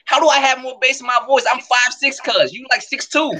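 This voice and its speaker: A man co-hosting a podcast in casual gaming chat, animated and slangy, joking around. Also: very high voice, fairly quick, a crowd in the background. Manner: animated, slangy, jokey, mimics voices. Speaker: podcast co-host.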